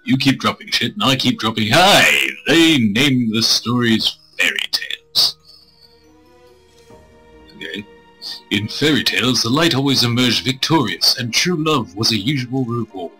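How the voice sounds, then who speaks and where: A man streaming a visual novel, reading it aloud with crude, irreverent joking asides, in a husky voice. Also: mid-range voice, lively intonation, measured pace, sharp tone, poor audio. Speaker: game streamer. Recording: music playing behind the voice.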